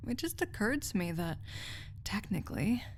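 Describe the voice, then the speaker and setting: A woman playing a lovestruck research assistant in an intimate romantic audio roleplay, tender and wry, with soft, lingering phrasing. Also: mid-range voice, very animated, normal speed, slight background noise, close to an everyday voice. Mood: tender, reflective.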